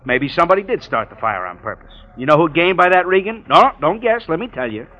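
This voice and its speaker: A curt, sarcastic police sergeant. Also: male voice, on the high side, big pitch swings, fast, pushed and bright, heavily acted. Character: overworked, impatient police sergeant. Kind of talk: 1940s radio acting. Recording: muffled old radio broadcast.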